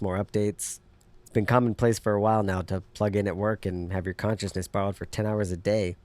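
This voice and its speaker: A man's voice: lowish voice, a little mellow, dry recording, lightly acted. A man playing a jaded, know-it-all underground hacker in an audio drama, dry and matter-of-fact as he explains.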